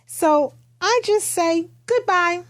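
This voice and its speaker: A woman voicing a quiet, matter-of-fact young kindergarten girl who tells her own storybook tale in simple, expressive phrases. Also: very high voice, takes it slowly, full character voice. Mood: earnest, matter-of-fact.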